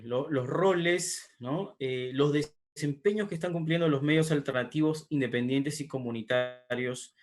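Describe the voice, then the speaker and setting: A man moderating a virtual panel on a video call, courteously thanking speakers and handing over the floor. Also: medium pitch.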